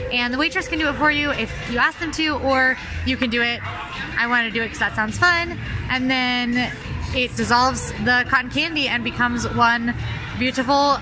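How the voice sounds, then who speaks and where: A woman's voice: on the high side, poor audio. A young woman giving a casual, chatty review as a vlog host in a restaurant. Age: young adult. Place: themed restaurant, indoors. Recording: restaurant chatter and background music.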